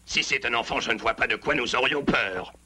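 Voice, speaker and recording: Cartoon villain: imperious lion king, forceful and raspy, self-assured. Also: mid-range voice, brisk. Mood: haughty, confident. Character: haughty lion tyrant ruling the forest. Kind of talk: French cartoon dub acting.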